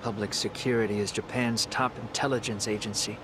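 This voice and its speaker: A man playing a calm, shrewd fugitive schemer in a video game dub, talking in a composed, deliberate way. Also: fairly low pitch, background noise, natural voice. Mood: grave, composed.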